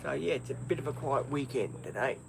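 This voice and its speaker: A man's voice: medium pitch, brisk, creaky. An Australian man in his fifties chatting on a casual livestream, hesitant and rambling with many ums. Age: 50s. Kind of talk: casual live-stream chat. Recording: crickets and insects behind.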